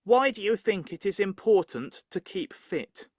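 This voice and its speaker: A speaker slowly and clearly reading exam questions from a recording. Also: mid-range voice, animated, darkish tone, dry recording, clean studio sound.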